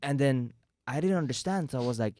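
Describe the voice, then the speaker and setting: Podcast host, man; mid-pitched, dry close mic, chatty and quick to laugh. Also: lively intonation, warm tone, no room sound. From Iran. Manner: chatty, laughs often, quick short reactions. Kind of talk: casual interview chat.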